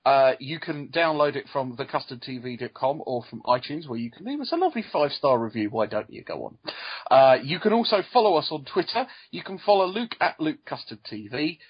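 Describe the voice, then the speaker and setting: Man, husky, jokey podcast host, muffled lo-fi audio. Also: fairly high pitch, sing-song, fairly quick. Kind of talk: casual chat. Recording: dull lo-fi sound.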